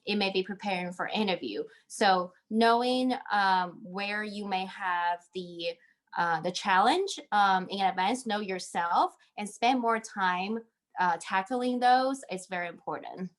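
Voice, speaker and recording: A woman's voice: mid-range voice, a little slow. A woman in her thirties from Taiwan, giving conversational advice as an interview guest on a video call. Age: 30s. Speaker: interview guest, MBA graduate. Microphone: video call microphone.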